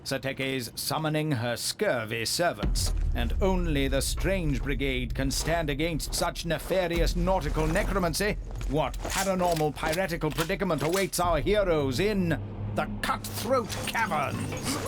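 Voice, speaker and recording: An actor voicing a pompous, excitable British adventure serial announcer, narrating theatrically in florid, old-time newsreel style. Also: male voice, on the high side, measured pace, music in the background, lightly acted. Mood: suspenseful, grand.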